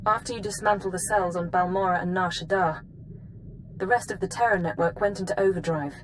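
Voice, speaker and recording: A woman's voice: on the low side, steady tone, brisk, a little hiss or hum, natural voice, low-quality recording. Woman acting a precise intelligence officer. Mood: businesslike. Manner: precise, businesslike. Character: brisk, analytical female intelligence officer. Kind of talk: video game cutscene acting.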